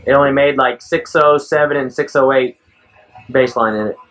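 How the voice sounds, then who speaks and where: A man's voice: medium pitch, fairly even intonation, moderate pace, a bit bright. A man running a car performance shop, talking casually and matter-of-factly with shop slang while filming on a handheld action camera in a large indoor garage. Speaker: performance shop owner and tuner.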